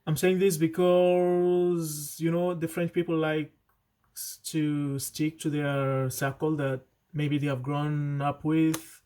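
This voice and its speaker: A man's voice: on the high side, level tone, takes it slowly, mellow, clean tone. A young man talking casually to camera, earnest and chatty, often restarting his sentences and adding asides. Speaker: vlogger. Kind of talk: casual vlog advice to camera.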